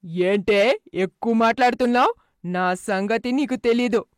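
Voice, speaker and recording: A woman voicing a bullying, loud middle-aged village mother-in-law in a cartoon moral story, scolding and haranguing. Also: fairly high pitch, unhurried, no echo, clean studio sound, lightly acted. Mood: angry scolding.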